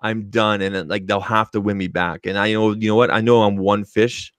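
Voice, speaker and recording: A man with a fairly low voice talks on a tech podcast, firm and opinionated, stressing his points with emphasis. The sound is close and dry, with no room around it. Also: steady tone, a little fast, clean tone, no room sound. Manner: emphatic, opinionated, says okay a lot. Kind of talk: casual podcast chat. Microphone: studio microphone.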